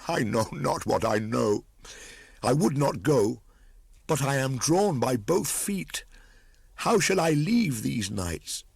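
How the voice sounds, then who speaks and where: A troubled young jungle boy, played by a male reader, speaks in a raised, plaintive voice. The delivery is halting, slow and heavy-hearted, anguished storybook drama. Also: mid-range voice, lively intonation, vocal fry, husky, dry recording, studio quality, pitched above the performer's own voice, exaggerated voice. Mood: sobbing, torn.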